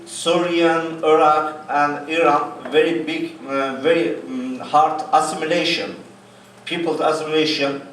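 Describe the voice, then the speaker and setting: Kurdish man, native Kurdish speaker, audience comment, broken English, slow, distant and roomy. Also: a little high. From Kurdistan, Turkey. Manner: halting broken English with many pauses. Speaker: audience member. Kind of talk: speaking from the floor. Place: cafe event space. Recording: echoey room, speaker far from mic, faint noise.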